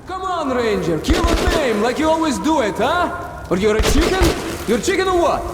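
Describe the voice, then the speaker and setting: An actor playing a reckless, broken Russian soldier in a video game, goading and pleading in a Russian accent. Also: male voice, very high-pitched, big pitch swings, moderate pace, recorded from a distance. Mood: taunting, goading. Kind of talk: video game cutscene acting. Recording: heavy echoing reverb, loud noise.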